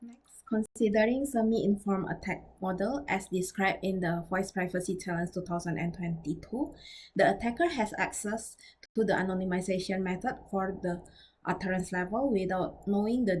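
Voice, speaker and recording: A woman giving a scripted academic presentation as a researcher, reading formally and carefully with slightly halting phrasing. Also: medium pitch, a little mellow, slight background noise.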